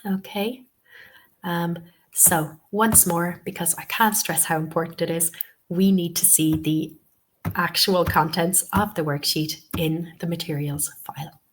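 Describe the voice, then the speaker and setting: Sniffly woman tutor with a lowish voice. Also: fairly even intonation, unhurried, slight background noise, rough recording. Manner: patient, repeats key points, many "okay"s and "um"s. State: sniffly from a cold. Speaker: TEFL course tutor. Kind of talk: webinar instruction.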